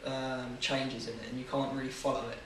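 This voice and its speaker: An eighteen-year-old man gives hesitant, filler-laden answers in a flat, even voice. He sits well away from the microphone in a reverberant room, with faint ambient noise behind. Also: mid-range voice, fairly quick. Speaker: interviewee. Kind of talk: casual answers. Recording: echoey room, far from mic.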